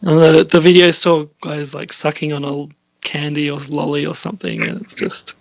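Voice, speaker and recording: A man hosting a casual podcast chat, halting and often trailing off mid-sentence. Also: a little high, level tone, gravelly, no room sound, low-quality recording. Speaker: podcast host and scriptwriter.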